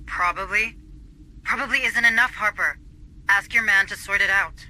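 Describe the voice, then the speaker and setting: A woman playing a brisk, authoritative military officer in a video game, commanding and businesslike. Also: mid-range voice, pushed and bright, a little hiss or hum, poor audio. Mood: firm, wary.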